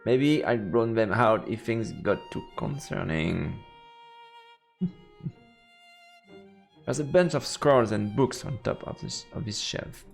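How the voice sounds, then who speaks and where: A male streamer with a gravelly, creaky voice and lively, swinging intonation. He reads game text aloud and chats informally between passages, tripping on words now and then, with music behind him. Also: on the low side, slow, long pauses. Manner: informal, stumbling over read text. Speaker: let's play streamer. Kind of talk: live commentary, reading game text aloud.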